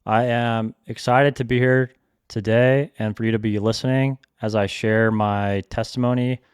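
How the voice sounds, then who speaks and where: A man's voice: mid-range voice, steady tone, normal speed, clear, resonant tone, no echo, clean studio sound. A man in his twenties from Georgia, hosting a solo podcast and earnestly sharing a personal testimony in a reflective, storytelling way with frequent ums. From Georgia, United States. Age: 20s. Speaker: podcast host.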